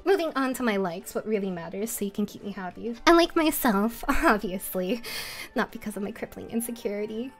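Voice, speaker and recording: Woman, self-mocking, laughing, animated pitch, faint music. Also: a little fast. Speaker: streamer. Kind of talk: casual debut stream self-introduction.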